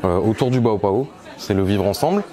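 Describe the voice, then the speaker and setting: A man's voice: fairly low pitch, expressive, brisk, crowd noise. A man giving explanatory interview answers as an event organiser, piecing his sentences together with some hesitation. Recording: music playing behind the voice. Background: instrument music.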